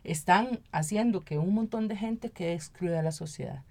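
A woman's voice: lowish voice, moderate pace, dark tone. An earnest woman with a Costa Rican accent speaking conversationally as an interview guest, often adding tag questions to seek agreement. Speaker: activist and municipal council member, interview guest.

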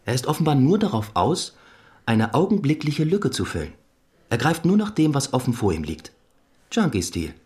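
An actor playing a confident young assistant detective in a German radio crime drama, matter-of-fact and assertive. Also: male voice, medium pitch, big pitch swings, moderate pace, darkish tone, natural voice. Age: young adult. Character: young assistant detective, brisk, confident, sure of his theory. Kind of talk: German radio play acting.

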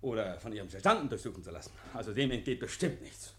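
An actor playing a nervous, over-eager murder suspect in a 1950s radio play, effusive, stammering and repeating himself. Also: male voice, on the low side, big pitch swings, fast talker, sharp tone. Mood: over-eager, polite.

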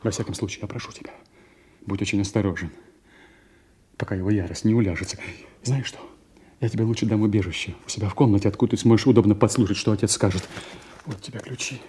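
An actor playing a resentful, scheming young bastard son who feigns concern, in brooding, insinuating stage diction for a radio drama. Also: male voice, on the low side, fast talker, mellow, a little hiss or hum, lightly acted. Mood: feigned concern. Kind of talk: theatrical radio drama acting.

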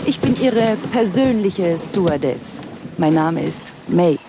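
An actress playing a stern, bossy stewardess in a comic radio play, reciting formal courtesies with menacing politeness. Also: female voice, on the low side, lively intonation, takes it slowly. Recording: noisy outdoor ambience behind voice.

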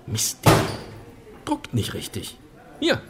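An actor playing an irritable, menacing stranger in a radio play, curt and suspicious. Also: male voice, slow, very noisy, lightly acted.

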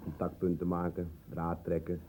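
Radio drama workman, male actor: deep, very mellow and dark, colloquial, unpolished talk, slight background noise. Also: monotone, normal speed, close to an everyday voice. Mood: grumbling. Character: plain-spoken workman making small talk. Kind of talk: radio play acting.

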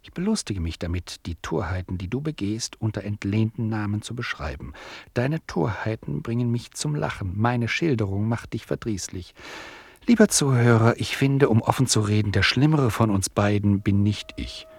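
An actor playing a wry, teasing author-narrator in a literary radio play, ironic and rhetorical. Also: male voice, fairly low pitch, animated, moderate pace, gravelly, husky, no echo, clean studio sound, full character voice. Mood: ironic, provoking. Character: wry, teasing male author-narrator sparring with his listener.

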